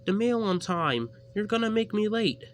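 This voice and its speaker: A man voicing a small, anxious young male tank engine in a storybook-style tale, in a squeaky, shrill and frantic voice. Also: animated, moderate pace, a little hiss or hum. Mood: pleading.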